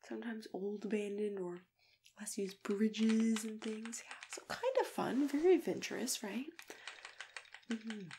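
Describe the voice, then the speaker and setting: A woman doing a soft-spoken, often whispered ASMR roleplay as a kindly, gently chatty matchmaker who reassures her client. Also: fairly high pitch, measured pace. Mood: helpful, chatty. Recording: clearly noisy, keyboard typing and clicks.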